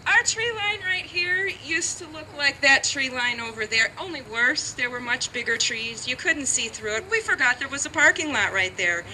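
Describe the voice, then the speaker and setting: An upbeat, chatty woman introduces a speaker outdoors at a garden ceremony. Her voice is high and very bright. Faint street noise sits behind her. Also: expressive, normal speed, hardly any pauses, poor audio. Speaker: event organizer introducing speakers.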